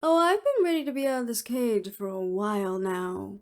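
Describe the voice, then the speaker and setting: A woman's voice: mid-range voice, normal speed, natural voice. A woman voicing a clever, unruffled imprisoned researcher in an audio roleplay, speaking precisely and with slight superiority. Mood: wry, agreeable. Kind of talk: scripted audio roleplay acting.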